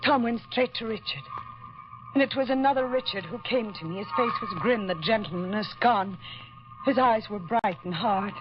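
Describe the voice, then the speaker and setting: Actress playing a haunted wife in dull-sounding vintage radio melodrama. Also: female voice, fairly high pitch, normal speed, music in the background, natural voice. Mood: somber, confessional. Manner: dramatic, brooding narration and anguished pleading. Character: haunted, guilt-ridden wife Janet narrating her nightmare. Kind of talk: old-time radio melodrama acting.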